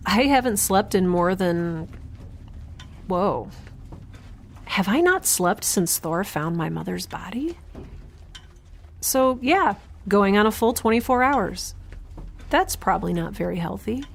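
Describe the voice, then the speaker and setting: A woman playing a chatty, nerdy, stubborn young scientist in an audio drama, rambling and halting over clear background noise. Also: on the low side, full character voice. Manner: rambling, halting, talking to herself. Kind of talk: audio drama monologue and dialogue.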